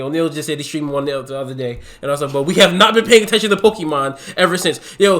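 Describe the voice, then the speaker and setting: A young man co-hosting a podcast, arguing his side in a casual debate, fast and animated. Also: on the high side. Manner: fast, animated, laughs and repeats himself.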